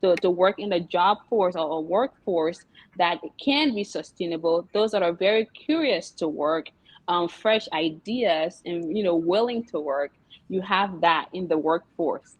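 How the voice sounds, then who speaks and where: A woman's voice: fairly low pitch, dry recording, poor audio. A young woman from Liberia, a guest entrepreneur in an interview, talking casually with many fillers and laughs. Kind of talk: interview chat.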